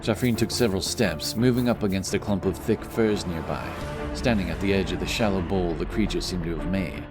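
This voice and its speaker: A man's voice: low voice, a little fast, few pauses, music in the background. A man reading scripted, dramatic narration for a fantasy adventure story.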